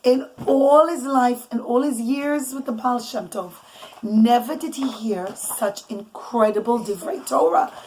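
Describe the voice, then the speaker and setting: A mother telling an informal, improvised religious bedtime story in an animated, conversational way, mixing in Hebrew and Yiddish terms. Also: on the high side, measured pace, low-quality recording. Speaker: mother telling bedtime stories to children and listeners. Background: noise, clicks, small children nearby.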